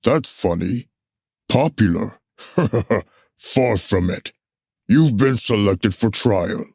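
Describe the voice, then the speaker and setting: A man's voice: lowish voice, lively intonation, drawn-out words, creaky, darkish tone, husky, dry recording, clean studio sound, full character voice. A man in a puppet show playing an officious, unbothered messenger, dismissive and matter-of-fact. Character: unbothered, officious male messenger collecting banned books. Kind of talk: musical puppet show stage acting.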